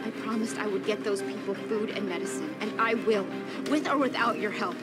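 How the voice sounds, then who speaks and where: A woman's voice: mid-range voice, a little fast, over loud music, close to an everyday voice. An actress playing an idealistic, stubborn young woman survivor in a video game, earnest and principled. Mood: determined, pleading. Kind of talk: video game cutscene acting.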